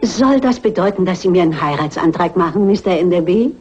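A woman's voice: lowish voice, animated, a little fast, a little mellow, faint music behind, natural voice. An actress playing a shrewd, composed mature lady sleuth in a German radio crime drama, dry, precise and dignified, in a dull old recording. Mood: dry, polite.

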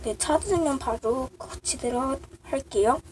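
A woman with a cold that makes her voice sound off, casually showing handmade crafts in a halting, apologetic, self-deprecating way. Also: sits high. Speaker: craft video creator. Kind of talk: casual show-and-tell of handmade clay miniatures. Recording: noisy amateur recording with clicks.

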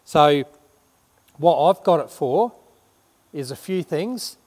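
A man's voice: a little high, lively intonation, takes it slowly, darkish tone, in a large hall. A middle-aged man, chatting casually to camera in a big workshop, rambling and correcting himself as he explains. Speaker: renovation vlogger. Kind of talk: casual show-and-tell to camera. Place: large workshop shed indoors.

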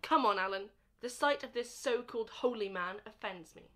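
A woman's voice: a little high, normal speed, sharp tone. A woman acting a bold, impetuous young man in a radio drama, challenging and dramatic. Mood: defiant.

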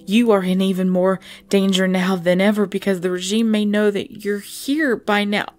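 A woman acting a tender, regal female vampire lover in an intimate ASMR roleplay, speaking softly and hesitantly with breathy whispers. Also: mid-range voice, steady tone, moderate pace, lightly acted. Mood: grave, worried. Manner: soft, hesitant, often dropping to breathy whispers. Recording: music bed under the voice.

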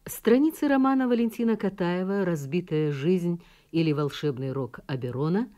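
Female radio announcer, dark mellow tone, slightly low pitch, formal delivery. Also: normal speed. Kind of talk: formal broadcast credit announcement.

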